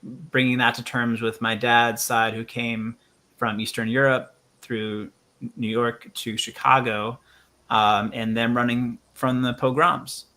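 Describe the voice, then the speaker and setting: A man hosting a reflective conversation in a bright, forward voice. He speaks earnestly and thoughtfully, working through his ideas aloud with many ums and you-knows. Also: medium pitch, flat delivery, slow pace. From United States. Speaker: musician and show host.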